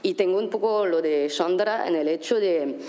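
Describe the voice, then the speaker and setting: A woman's voice: on the low side, normal speed, dark tone. A woman who grew up in France, speaking at a conference panel in a frank, conversational way full of filler phrases. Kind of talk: candid panel talk.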